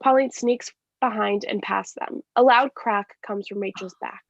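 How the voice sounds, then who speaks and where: A woman's voice: mid-range voice, a little slow, creaky, no echo. A woman reading scripted stage directions in a live staged reading of a play. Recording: dull lo-fi sound.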